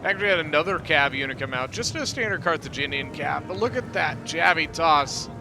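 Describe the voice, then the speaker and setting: Gaming host, male, slightly high register, casual replay commentary, clear music bed underneath. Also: fairly quick. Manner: relaxed, chatty, peppered with "you know" and "uh".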